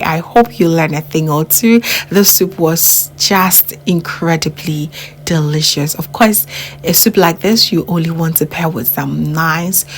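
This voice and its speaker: Lively Ghanaian woman hosting a cooking show. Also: low voice, a little hiss or hum. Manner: enthusiastic, repeats words for emphasis, addresses viewers as family. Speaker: cooking channel host. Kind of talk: cooking tutorial voice-over.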